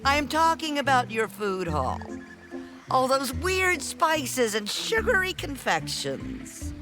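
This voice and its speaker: Female comic game sidekick, bossy, sarcastic, fairly high and lively, music underneath. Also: slow pace, exaggerated voice. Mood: disapproving. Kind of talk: comedic video game voice acting.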